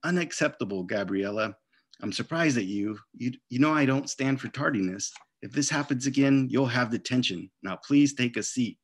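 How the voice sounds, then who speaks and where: A man's voice: mid-range voice, normal speed, breathy edge, close to an everyday voice. Storybook schoolmistress, performed by a man: stern, disapproving, clipped and scolding.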